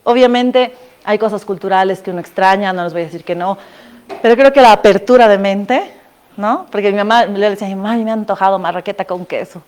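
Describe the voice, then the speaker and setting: A young woman from Bolivia with a Bolivian Spanish accent, telling anecdotes to an audience in a casual, animated way, laughing often and asking listeners to agree with her. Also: medium pitch, brisk. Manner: animated, laughs often, addresses listeners directly with tag questions. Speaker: former scholarship student giving a talk. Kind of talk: casual storytelling talk to an audience.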